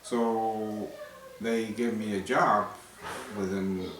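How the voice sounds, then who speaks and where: A very deep-voiced man speaks slowly and haltingly, often restarting his sentences. He sits well back from the mic in an echoey room. Steady background noise runs under his voice. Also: far from the mic. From Ethiopia. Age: 60s. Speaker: interviewee. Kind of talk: oral history interview.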